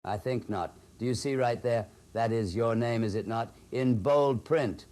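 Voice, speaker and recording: A man's voice: mid-range voice, measured pace, a little mellow, natural voice. A man playing a prim, condescending old clerk of the underworld in an audio horror drama, speaking precisely. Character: prim, condescending gray-haired old male clerk of hell.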